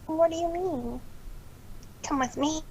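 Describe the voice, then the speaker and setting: A woman's voice: high-pitched, slow pace, a little mellow. A woman voicing a brash, cocky young female cartoon pegasus, slangy and challenging, in amateur fan-fiction style.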